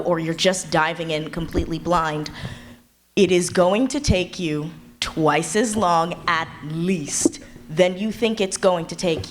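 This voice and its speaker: A woman speaking as a panelist at a live event, giving candid, encouraging advice in casual talk. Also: on the low side. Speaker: audio drama creator, panelist. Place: live convention panel before an audience. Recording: live panel with background room noise.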